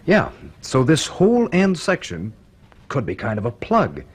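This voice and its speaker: Keen young student, lively pitch. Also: male voice, measured pace, slightly rough, full character voice. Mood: eager, excited. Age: young adult. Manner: informal, excitable, hesitant bursts. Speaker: actor in a radio drama. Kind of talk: radio drama acting. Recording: faintly noisy radio drama recording.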